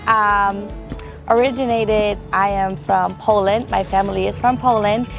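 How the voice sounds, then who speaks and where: A woman's voice: medium pitch, fairly even intonation, measured pace, gravelly, over music. A woman in her twenties from Germany, talking casually about herself in an interview. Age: 20s. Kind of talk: casual personal interview account.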